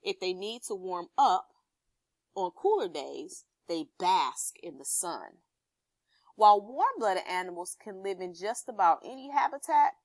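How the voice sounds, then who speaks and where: A woman teacher reading an informational text aloud to pupils and explaining it, pausing to check understanding with questions. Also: mid-range voice, dry recording.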